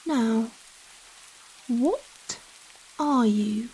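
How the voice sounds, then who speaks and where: A woman playing a giant female vampire in an intimate ASMR roleplay, gentle but possessive and dominant, teasing coyly with pet names as rain falls. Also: fairly high pitch, slight background noise, rough recording. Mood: teasing, controlling. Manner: slow, coy, coddling talk with pet names. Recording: rain falling behind the voice. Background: steady rain.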